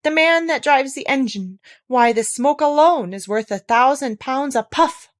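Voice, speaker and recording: Pompous storybook train passenger, chanting and emphatic, pitched up, woman reader playing the part. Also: animated, unhurried. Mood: chiding. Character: chanting chorus of pompous, fussy railway passengers.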